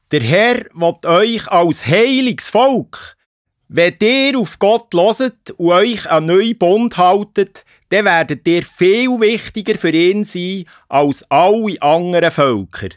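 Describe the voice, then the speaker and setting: A man's voice: high voice, lively intonation, slow pace, no room sound, studio quality. A man playing a steadfast prophet who leads his people, proclaiming solemnly in a children's Bible audio drama.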